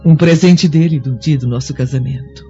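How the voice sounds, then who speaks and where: A woman playing a gentle, devout Roman noblewoman in prison, who speaks slowly and solemnly in a radio drama. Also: sits low, natural voice. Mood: tender. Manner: slow, solemn and tender. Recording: dull lo-fi recording with music. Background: soft music score.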